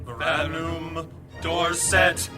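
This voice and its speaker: A man playing a hostile battle mage, forcefully chanting drawn-out incantations in a fantasy audio drama. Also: a little high, level tone, over music. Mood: commanding incantation.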